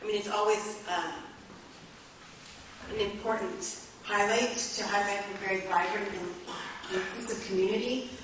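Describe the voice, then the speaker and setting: A woman hosting as artistic director, giving an informal, earnest and somewhat halting welcome speech to a live concert audience. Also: medium pitch, fairly even intonation. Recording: very echoey hall, distant mic, faint noise.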